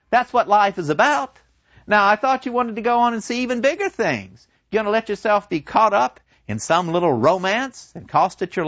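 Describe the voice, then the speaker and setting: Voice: medium pitch, a bit bright, no room sound, pitched above the performer's own voice. A storyteller voicing a scheming devil, taunting and sardonic, in a dull, dry lo-fi recording. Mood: scornful. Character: mocking scheming devil, gleeful.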